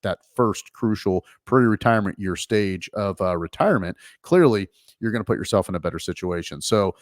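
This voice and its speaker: A man's voice: on the low side, expressive, fairly quick, no echo, clean studio sound. A middle-aged man hosting a finance talk show, explaining things casually with many fillers and restarts. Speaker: financial advisor and show host.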